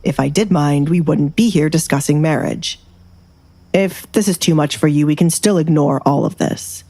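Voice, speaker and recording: Woman voicing a cool, domineering aristocratic man, lowish. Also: moderate pace, dark tone. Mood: cool, impatient. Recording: faint background music.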